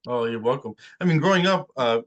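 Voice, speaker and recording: A man from New Jersey, a guest in a casual interview, talking in a halting way and repeating words as he thinks. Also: mid-range voice, lively intonation, muffled recording. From New Jersey, United States.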